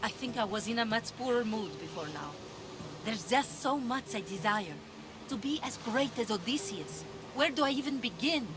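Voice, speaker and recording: A woman's voice: fairly high pitch, animated, normal speed, music in the background, lightly acted. A woman voicing a proud, spirited young pilgrim in a cinematic video game, self-assured and passionate. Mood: yearning. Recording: outdoor ambience noise behind voice. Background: ambient outdoor noise.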